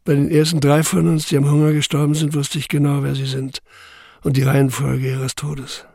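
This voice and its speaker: An actor playing a young labor camp survivor who narrates in the first person, sober, measured and intense. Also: male voice, on the high side, completely flat delivery, vocal fry, darkish tone, no echo, studio quality.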